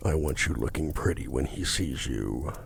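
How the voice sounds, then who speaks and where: A man playing a courtly, possessive, seductive demon in an ASMR roleplay, speaking formally and commandingly in a gravelly, at times breathy voice. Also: extremely low pitch, lively intonation, slight background noise, heavily acted. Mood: mock soothing, controlling. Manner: slow, formal, theatrical, commanding.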